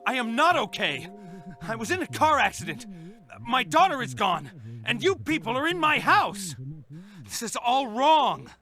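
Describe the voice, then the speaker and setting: A man's voice: very high-pitched, wildly swooping pitch, measured pace, sharp tone, full character voice. An actor playing an agitated, indignant father, confused and searching for his missing daughter in a video game drama. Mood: frantic, indignant.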